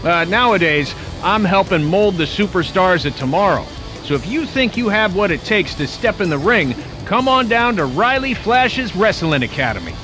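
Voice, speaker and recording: An actor playing a washed-up wrestler giving a hammy commercial pitch for his training academy in a video game. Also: big pitch swings. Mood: upbeat salesmanship. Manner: salesmanlike, hammy, fast disclaimer at the end. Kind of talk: cheesy local TV commercial pitch. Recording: loud music bed under the voice.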